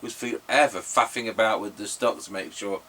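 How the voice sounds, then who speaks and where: A man's voice: fairly low pitch, fairly even intonation, a little fast, a bit bright. A middle-aged man chatting casually in a rambling, anecdotal way while giving radio test commentary. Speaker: radio repairer and presenter. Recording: noisy, distant microphone.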